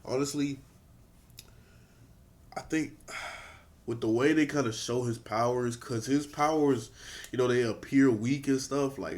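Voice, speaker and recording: A man's voice: mid-range voice, a little mellow. Stuffy-nosed man reacting casually. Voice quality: stuffy nasal. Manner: slangy, laid-back, chatty. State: stuffy, congested from a cold. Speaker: reaction video host.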